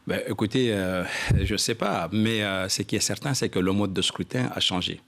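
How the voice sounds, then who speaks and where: Guy with a lowish voice, local politician being interviewed, explains stuff in a halting formal way. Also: gravelly, fairly bright tone. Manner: halting, repeats "je dirais", explanatory. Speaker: elected local official, interview guest.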